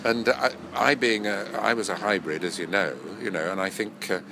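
Older man, deep and nasal with a cold. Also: fairly quick, background noise. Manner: rambling, self-correcting, chuckling. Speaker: actor and voice-over artist. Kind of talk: relaxed interview reminiscing.

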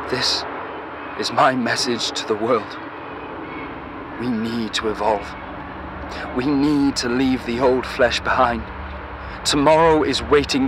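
An actor playing a desperate young zealot in an audio drama, speaking a solemn, halting, earnest last testament. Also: male voice, medium pitch, close to an everyday voice. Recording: noisy outdoor city traffic ambience.

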